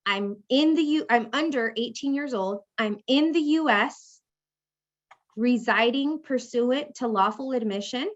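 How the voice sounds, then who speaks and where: A woman's voice: on the high side, measured pace, highs cut off. A woman law professor giving a conversational classroom lecture, acting out example speakers as she explains. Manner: conversational, acts out hypothetical speakers.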